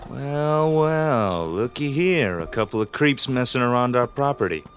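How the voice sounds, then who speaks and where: Man acting a slow-drawling, cocky young biker. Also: mid-range voice, expressive, lightly acted. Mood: mocking. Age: young adult. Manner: drawling, taunting slang. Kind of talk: radio drama acting.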